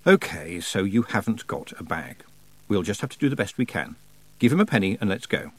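A man voicing a sensible, sharp-tongued young witch girl in a storybook, plain-spoken and firm. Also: on the low side, very animated, fairly quick, breathy edge, dry recording. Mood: brisk, practical.

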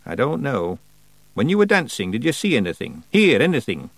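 A man's voice: mid-range voice, wildly swooping pitch, fairly bright tone, no echo, voice pushed up, exaggerated voice, rough recording. A very old witch, stern and solemn, weighs every word as she lectures, voiced by a man reading a storybook. Her voice sits well above his own. The sound is dry and close. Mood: solemn, probing. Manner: slow, severe, lecturing.

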